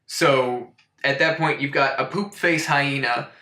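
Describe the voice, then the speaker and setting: A man hosting a comic storytime in a mock-serious, deadpan way, rambling into incredulous asides. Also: medium pitch, measured pace, roomy sound. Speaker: host of a comic storytime show. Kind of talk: deadpan comedic picture book review.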